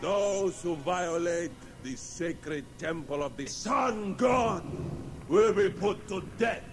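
An actor playing a stern, imperious Inca ruler in a radio drama, solemn, commanding and declamatory. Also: male voice, high-pitched, animated, slow pace, faint music behind, exaggerated voice. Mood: menacing.

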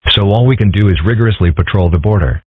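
Casting: man as formal cartoon news announcer, low and husky, narrow phone-like audio. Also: quick pace, no room sound. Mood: newsy. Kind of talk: news bulletin in comic dub. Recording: muffled narrow radio-like sound.

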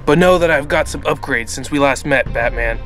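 A man's voice: mid-range voice, a little fast, fairly bright tone, soft background music, natural voice. An actor playing a cocky, threatening hired assassin in a comic book audio drama, taunting his target.

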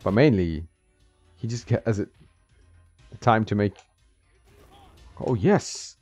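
A man's voice: lowish voice, expressive, slow pace, a little mellow, breathy edge, dry recording. A man giving casual, reactive commentary while he plays a retro fighting game, chuckling often and talking back to the game. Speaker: retro game video host. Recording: dull dry audio with game music behind.

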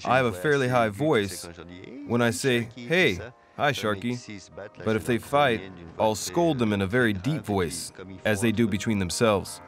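A man in an interview, speaking in a calm, thoughtful, slightly wistful way. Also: lowish voice, measured pace, music in the background. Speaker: musher. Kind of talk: reflective interview.

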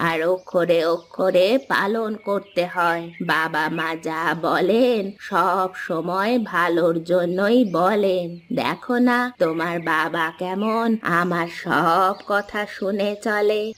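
A woman voicing a wise, gentle old village grandmother in a cartoon, giving advice in a measured, authoritative manner. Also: on the low side. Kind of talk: animated cartoon storytelling dialogue.

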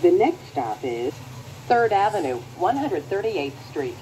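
An American woman reading scripted transit announcements in a measured, formal manner. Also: on the low side, very animated, warm tone, a little hiss or hum, low-quality recording.

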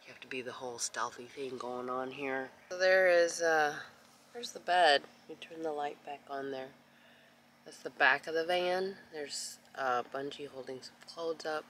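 A middle-aged woman chatting in a casual travel vlog, filmed on a phone held at arm's length, switching between hushed asides and excited bursts. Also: low voice, measured pace, slight background noise. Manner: chatty, excitable, shifts between hushed whispers and loud exclamations. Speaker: vlogger. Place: inside a minivan, then outdoors. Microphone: phone camera at arm's length.

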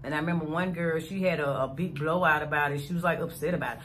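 Woman off-mic across a busy room, quick and low-pitched. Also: fairly even intonation, crowd noise. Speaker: salon co-worker recalling an event.

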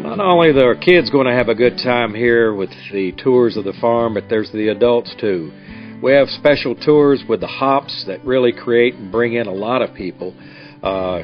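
A middle-aged American man narrating a tour as a farm guide. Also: mid-range voice. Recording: dull lo-fi sound, guitar music behind. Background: acoustic guitar music.

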